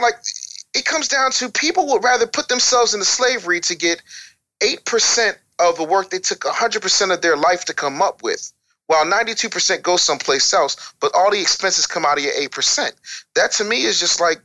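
A man's voice: high-pitched, animated, fairly quick, bright, forceful tone, rough recording. A male interview guest explaining his opinions bluntly and wryly, with emphatic lists and rhetorical questions. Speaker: interview guest, author and radio producer.

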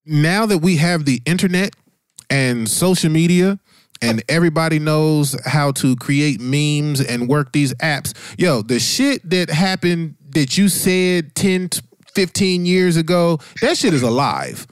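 A man's voice: on the high side, measured pace, clean tone, dry recording, studio quality. A man hosting a casual podcast discussion, blunt and profane, repeating phrases for emphasis. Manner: blunt, animated, emphatic repetition for effect. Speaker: podcast host.

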